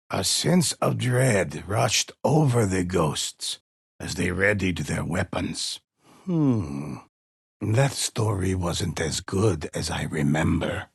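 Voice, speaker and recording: A deep, very husky old man tells grim tales as a video game storyteller. He is theatrical and ominous, lingering over his words like a forgetful elder. The sound is dry and close. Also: no echo, full character voice. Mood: grave, ominous, then musing, wistful. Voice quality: husky, gravelly.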